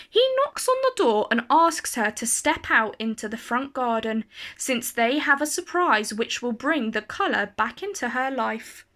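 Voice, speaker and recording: High-voiced woman reading a children's story. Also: bright, forceful tone. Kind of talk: expressive children's story read-aloud.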